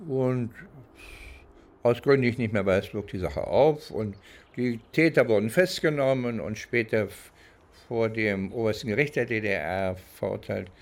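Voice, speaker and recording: An older man reminiscing without a script, speaking deliberately and often searching for his words. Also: lowish voice. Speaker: interviewee recounting criminal cases. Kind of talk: unscripted reminiscing in a documentary.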